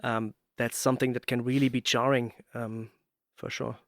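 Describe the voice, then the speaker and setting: A man's voice: medium pitch, level tone, moderate pace, dry recording. Man hosting a chat, dry close sound. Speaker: podcast host. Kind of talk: casual interview chat.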